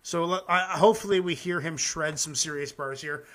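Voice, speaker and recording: High, bright-voiced casual male host. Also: a little fast. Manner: loose and profane, with frequent fillers; reads his own comic poems aloud. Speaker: host of a music reaction show. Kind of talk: casual live-stream chat.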